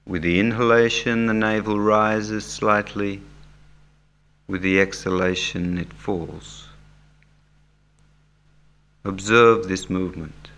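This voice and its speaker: A man leading a guided meditation as an instructor, speaking in measured, soothing short phrases with long pauses. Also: fairly low pitch, steady tone, fairly bright tone, clear, resonant tone. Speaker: yoga nidra meditation instructor. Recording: background music under the voice. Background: music.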